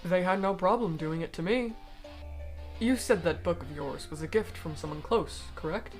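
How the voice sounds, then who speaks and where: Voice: medium pitch, soft background music, natural voice. A performer in a fan dub voicing a cold, aristocratic alien noble who speaks formally and eloquently, bitter yet persuasive. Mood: grave, probing. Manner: formal, eloquent, measured speech. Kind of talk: fan-dub voice acting of comic dialogue.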